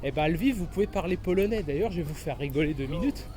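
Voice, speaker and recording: A man's voice: a little high, lively intonation, quick pace, a little mellow. A young man casually vlogging while he walks through a city, rambling in crude slang. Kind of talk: casual walking vlog. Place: city streets outdoors. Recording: outdoor street noise. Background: traffic and street noise.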